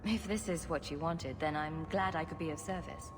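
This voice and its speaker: A woman's voice: sits low, normal speed, close to an everyday voice. An actress playing a confident, sarcastic female rogue in a video game, with a dry, teasing way of talking. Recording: reverberant hall, music underneath.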